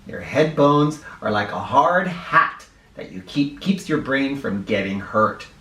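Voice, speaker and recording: A man reading a children's picture book aloud in an expressive, instructive way, speaking directly to young listeners. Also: mid-range voice, unhurried. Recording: roomy echo, distant from the microphone.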